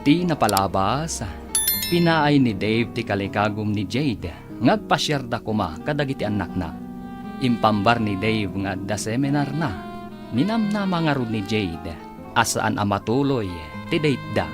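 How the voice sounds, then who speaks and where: A Filipino man narrating and announcing a radio drama in a scripted, dramatic style. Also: mid-range voice. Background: music.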